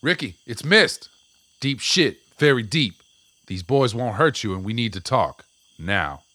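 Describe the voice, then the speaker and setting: A man's voice: medium pitch, lively intonation, measured pace, bright, forceful tone, dry recording. An actor playing a mysterious, blunt old man who talks with urgency in a radio play. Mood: urgent. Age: older.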